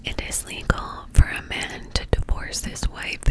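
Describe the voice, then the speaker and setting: A Canadian woman whispering close to the mic in an ASMR video, breathy with soft mouth sounds. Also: measured pace. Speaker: ASMR creator.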